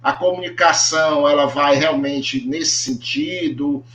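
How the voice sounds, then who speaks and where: A man's voice: on the high side, sing-song, a little slow, creaky, a bit bright. A man with a Brazilian accent moderating an online panel, framing questions in a hesitant way with repeated words. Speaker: host. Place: echoey room, on a video call. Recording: echoey room over online call.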